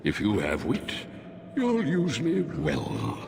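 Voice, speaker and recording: Fantasy game sloth demon: weary, condescending, slow, with wildly swooping pitch. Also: male voice, wildly swooping pitch, gravelly, a little mellow, a little hiss or hum, exaggerated voice. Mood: amused, teasing. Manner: languid, weary, condescending, drawn-out delivery. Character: bored, languid demon of sloth in beast form. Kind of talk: fantasy video game voice acting. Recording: long reverb, music underneath.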